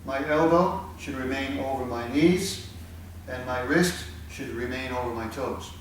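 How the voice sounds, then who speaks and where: A man giving patient, plain spoken instruction while he demonstrates, pausing between short phrases. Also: mid-range voice. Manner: plain, patient explaining with pauses. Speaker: tai chi instructor. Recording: echoey, distant with background noise.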